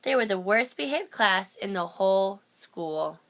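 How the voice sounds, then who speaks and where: A woman's voice: medium pitch, animated, a little slow, a bit bright, a little hiss or hum. A woman narrating a children's picture book aloud as a storyteller. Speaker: storybook reader.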